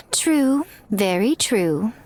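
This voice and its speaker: A woman's voice: fairly high pitch, sing-song, drawn-out words, slight background noise, heavily acted. A woman playing a sly, elegant fox shrine maiden in a video game, speaking in a coy, smug, theatrical and teasing way. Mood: mock pity. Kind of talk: video game character acting.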